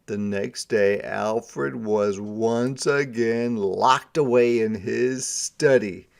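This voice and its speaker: A man reads a children's storybook aloud in the style of a teacher. His voice has a noticeable creak and he takes his time. He delivers the story dramatically and explains it in plainer asides. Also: fairly low pitch, very slow.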